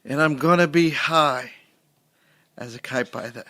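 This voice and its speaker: A young man doing a mock-dramatic spoken word reading on a podcast, drawing out every line slowly. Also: medium pitch, sharp tone, dry recording. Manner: slow, drawn-out recitation. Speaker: podcast co-host.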